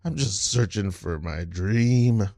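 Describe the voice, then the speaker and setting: A man's voice: fairly low pitch, slow pace, gravelly, mellow, dry recording, clean studio sound, voice pushed down. A man playing a drunk, lovesick colonial father who pleads melodramatically in improvised comedy. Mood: lovesick pleading. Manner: pleading, overwrought.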